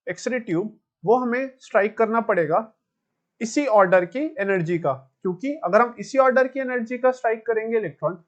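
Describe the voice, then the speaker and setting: A man's voice: high voice, muffled recording. A man with an Indian accent explaining step by step as a physics teacher, addressing students directly and mixing Hindi with English.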